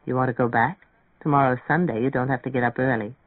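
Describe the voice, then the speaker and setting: A calm grown man in an audiobook, as a narrator acts him out, dropped to a low, unhurried register. He is matter-of-fact and gently reassuring, heard close and dry through a narrow, radio-like band. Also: no echo, natural voice. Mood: gently solicitous. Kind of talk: audiobook character dialogue.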